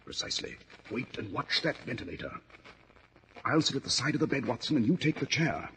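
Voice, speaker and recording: An actor playing a commanding, coolly controlled detective with clipped, precise speech in a 1940s radio drama. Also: male voice, mid-range voice, lively intonation, brisk, a bit bright, natural voice. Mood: hushed, tense. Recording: faintly noisy 1940s radio recording.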